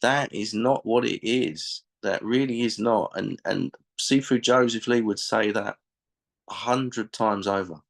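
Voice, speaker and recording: A man speaks in a low voice with a lot of creak. He is a martial arts instructor in an interview, giving emphatic, conversational explanations. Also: unhurried.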